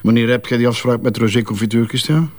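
A man's voice: medium pitch, fast talker, natural voice. An actor playing a coarse, sardonic businessman in a radio drama.

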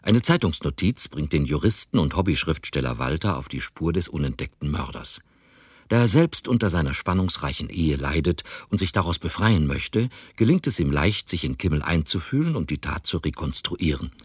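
A man reading scripted narration for a radio crime play in a measured, dramatic storytelling manner. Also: a bass voice, sing-song, breathy edge, no room sound, studio quality.

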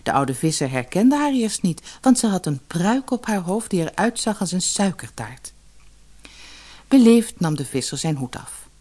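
A woman reading a scripted children's fairy tale as an expressive, measured narrator. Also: on the low side. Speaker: storyteller, narrator.

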